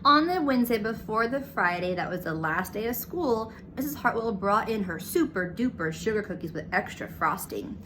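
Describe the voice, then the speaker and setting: A woman's voice: medium pitch, lively intonation, normal speed, few pauses, fairly bright tone, slight background noise. A woman reading a children's picture book aloud as a storyteller, narrating the scenes. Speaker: storybook reader.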